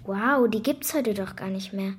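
A woman's voice: medium pitch, measured pace, slight background noise, natural voice. A woman playing a curious, impatient young girl in a children's radio drama, colloquial and sassy.

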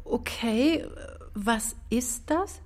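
A gentle radio play mother, with a fairly high voice. She speaks with some hesitation. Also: very slow. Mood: bemused.

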